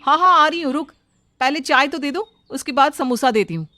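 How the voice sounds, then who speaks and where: A woman narrator voicing bossy, brisk young daughters-in-law who call out loudly in a cartoon story. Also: high-pitched, expressive, pitched above the performer's own voice. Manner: loud, ordering.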